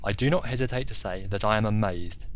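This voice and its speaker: A man voicing a grave, bewildered gentleman in a dramatized audiobook, speaking formally and earnestly. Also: fairly low pitch, fast, dull audio, a little hiss or hum, natural voice. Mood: grave amazement. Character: grave, astonished gentleman friend of the detective.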